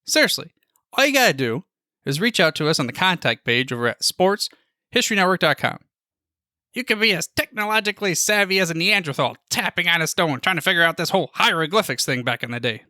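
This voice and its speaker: A man's voice: on the high side, lively intonation, fast, sharp tone, no echo, clean studio sound. A man giving a chatty, upbeat promo as a podcast network host, with a folksy manner.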